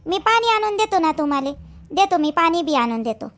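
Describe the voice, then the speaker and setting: A meek young village daughter-in-law acted by a woman, with a high, bright voice. She speaks deferentially and a little plaintively in Vidarbha Marathi dialect, in homemade cartoon style. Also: sing-song, fairly quick, exaggerated voice. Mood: hurt, meek. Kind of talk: amateur cartoon voice acting.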